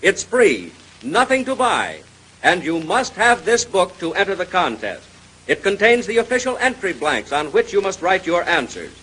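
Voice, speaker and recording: A male radio announcer in 1930s style, giving an emphatic contest sales pitch. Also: big pitch swings, moderate pace, bright, forceful tone, husky. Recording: noisy vintage radio recording.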